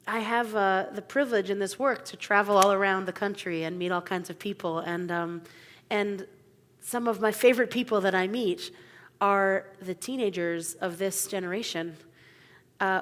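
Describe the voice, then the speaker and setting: A woman rabbi giving an informal sermon in a halting, conversational way with many fillers. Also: mid-range voice, fairly bright tone. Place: synagogue sanctuary. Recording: long hall reverb in a sanctuary.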